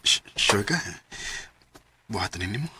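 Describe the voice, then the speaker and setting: Husky Visayan suitor in his late thirties. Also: on the low side, animated, measured pace, pushed and bright, no room sound, full character voice. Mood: coaxing. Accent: Filipino, Visayan. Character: Danilo, 38-year-old suitor, soft-spoken and coaxing. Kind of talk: radio drama acting.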